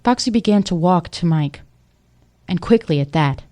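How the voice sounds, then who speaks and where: A woman's voice: on the low side, animated, moderate pace, mellow. A woman giving scripted story narration for an audio drama.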